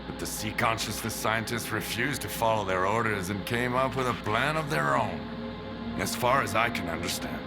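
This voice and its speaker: An actor playing a weary, intense veteran stalker who talks in a low, measured, brooding way. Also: male voice, over loud music, natural voice. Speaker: veteran stalker explaining the Zone.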